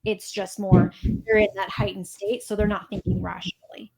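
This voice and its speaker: A woman's voice: medium pitch, flat delivery, moderate pace, gravelly, a crowd in the background, rough recording. Explanatory young woman therapist. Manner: explanatory, acts out example dialogue, frequent affirmations. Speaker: therapist guest. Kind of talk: casual interview.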